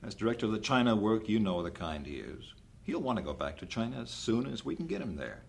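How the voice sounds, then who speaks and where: A man playing an earnest, confident bishop who directs a mission, speaking in a measured, persuasive way in an old-fashioned radio drama. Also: fairly low pitch, big pitch swings, vocal fry. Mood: firm, earnest.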